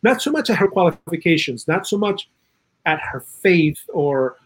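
A man from South America giving earnest, opinionated political commentary in a conversational way, with a husky voice and plenty of "you know". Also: high voice, sing-song, moderate pace. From South America, Chile. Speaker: interview guest, political and legal commentator.

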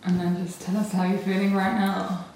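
A podcast host asking relaxed, encouraging questions in a casual interview. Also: mid-range voice, moderate pace, heavy reverb, recorded from a distance, a little hiss or hum, low-quality recording.

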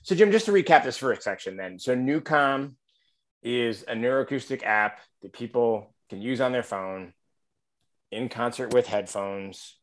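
A man's voice: medium pitch, animated, unhurried. A man hosting a casual interview, agreeable and recapping points for the listener. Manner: agreeable, frequent "yeah", recapping. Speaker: host.

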